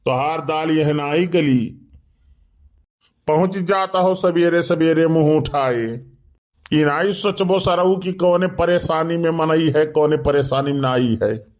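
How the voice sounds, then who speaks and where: Grumpy Awadhi village man, slow and scolding, acted by a man. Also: on the high side, a little mellow. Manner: scolding, sarcastic. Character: grumpy, insulting village man, quick to quarrel. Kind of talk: animated comedy dialogue.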